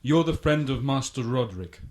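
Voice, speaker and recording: Male actor as stealthy old-house servant, gothic radio play. Cryptic, deferential, formal, medium pitch, slight background noise. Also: lively intonation, normal speed, close to an everyday voice. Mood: ominous deference. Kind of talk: gothic radio drama dialogue.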